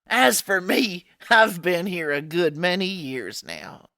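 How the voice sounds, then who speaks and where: Folksy old frontier cook, unhurried. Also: female voice, lowish voice, very animated, a bit bright, dry recording, studio quality, full character voice. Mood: earnest. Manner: folksy, rustic frontier speech. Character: kindly, folksy old woman cook in a Gold Rush town. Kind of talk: old-time radio drama acting.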